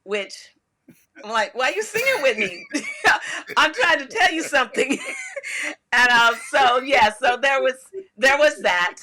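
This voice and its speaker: An older woman co-hosting a casual chat, telling stories in a loud voice and laughing often. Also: high-pitched, sing-song, measured pace. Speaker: podcast co-host.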